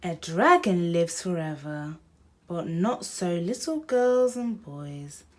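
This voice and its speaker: A woman's voice: on the low side, animated, slow pace, darkish tone. A woman hosting a children's picture book read-aloud, speaking directly to young listeners.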